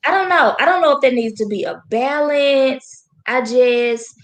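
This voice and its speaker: A woman guest on a casual podcast, a dance coach talking in a blunt, animated, motherly way. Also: sits high, measured pace, a crowd in the background.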